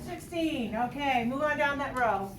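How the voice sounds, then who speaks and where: A joking woman in her fifties leads a math lesson, talking students through tallies with a light teasing manner. Her voice sits high. She is heard from a distance, in a reverberant room with steady background noise. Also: a little slow. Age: 50s. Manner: playful, jokey, talks through examples aloud. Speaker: math teacher. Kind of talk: classroom lecture. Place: classroom. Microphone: distant room mic. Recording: echoey classroom, distant mic, noisy.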